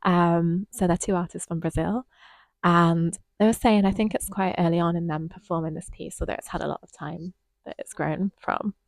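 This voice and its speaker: A woman with a lowish, gravelly voice talks through a performance she saw, unhurried and reflective, with plenty of ums. The sound is dry and close, with no room around her. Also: steady tone, no echo. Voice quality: slightly croaky. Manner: thoughtful, hesitant with frequent ums. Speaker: dance reviewer co-host. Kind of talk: casual conversational review.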